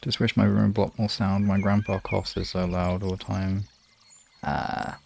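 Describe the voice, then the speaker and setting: A man chatting casually to live viewers as a streamer, in a halting, rambling, informal way. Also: low voice, level tone, darkish tone. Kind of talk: casual livestream chat. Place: room in an apartment. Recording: clearly noisy home room recording.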